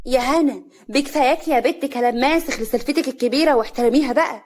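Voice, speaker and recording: A woman's voice: high-pitched, fast, darkish tone. A woman voicing a scornful, domineering older mother-in-law in a story, scolding sarcastically in an Upper Egyptian accent. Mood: mocking.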